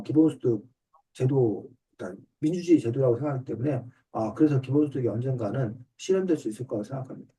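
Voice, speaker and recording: Very dark-voiced man explaining, distant mic. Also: medium pitch. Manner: polite, explains step by step in simple terms. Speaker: author and reading teacher answering a student's questions. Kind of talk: explanatory talk in an online book discussion class. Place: online video class. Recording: distant mic on online video call.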